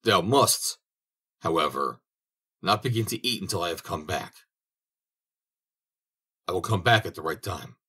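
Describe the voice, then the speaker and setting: A man's voice: low voice, expressive, unhurried, long pauses, pushed and bright, husky, full character voice. A man voicing a stern, saintly old man who speaks in solemn, archaic phrases.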